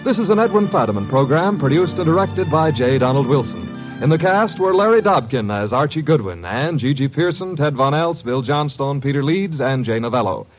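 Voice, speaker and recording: A 1940s radio announcer reading closing credits in a smooth, formal manner. His pitch sits slightly high and moves a lot, with faint music underneath. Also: poor audio. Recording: old radio broadcast with music.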